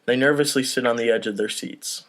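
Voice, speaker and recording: A man's voice: medium pitch, steady tone, brisk, fairly bright tone. A man hosting a casual table read, reading out stage directions and talking between them. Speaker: host and stage-direction reader.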